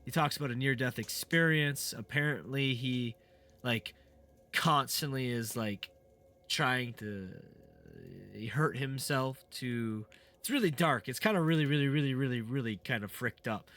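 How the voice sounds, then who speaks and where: Sick, slightly raspy man chatting over a game. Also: medium pitch, a little slow, a bit bright. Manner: rambling, chatty, many fillers and restarts. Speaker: gaming channel host. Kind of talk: casual let's play commentary.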